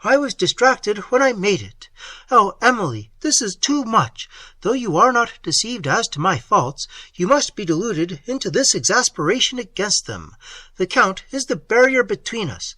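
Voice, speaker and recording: A man's voice: medium pitch, sing-song, unhurried, bright, forceful tone, husky, no echo, heavily acted. A man reading an audiobook voices a desperate young man who is ruined and pleads wildly with the woman he loves. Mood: frantic. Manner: impassioned, wild, pleading. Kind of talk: audiobook reading of a classic novel.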